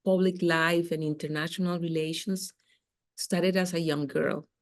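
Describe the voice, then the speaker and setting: A woman's voice: lowish voice, steady tone, a little slow, no room sound, clean studio sound. A middle-aged woman from Costa Rica, a diplomat, answering interview questions thoughtfully with small repetitions. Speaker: guest diplomat.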